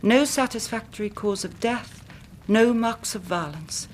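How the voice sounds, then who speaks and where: An actress playing a grieving young English gentlewoman in a radio drama, speaking formally and precisely as a dramatic storyteller. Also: on the high side, unhurried, slight background noise, natural voice. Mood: sombre, earnest. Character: grieving young gentlewoman recounting her twin sister's death.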